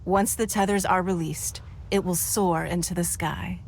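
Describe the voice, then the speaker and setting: Shrewd, gracious game ruler. Lowish, stately, formal, faint ambience behind. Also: female voice, fairly even intonation, unhurried, slight background noise. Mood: stately, satisfied. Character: poised, powerful lady leader, gracious and shrewd. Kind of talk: scripted video game voice acting.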